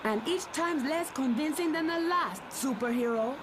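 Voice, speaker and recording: A woman as a high-voiced sassy superheroine. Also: expressive, normal speed. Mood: teasing. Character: sassy, teasing young superheroine. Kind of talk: motion comic voice acting. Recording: clear background ambience noise.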